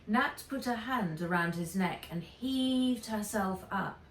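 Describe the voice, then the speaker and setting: A woman reading a children's adventure story aloud with dramatic, expressive narration. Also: medium pitch, slow pace, a little hiss or hum. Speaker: author reading own book aloud. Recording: roomy, distant microphone.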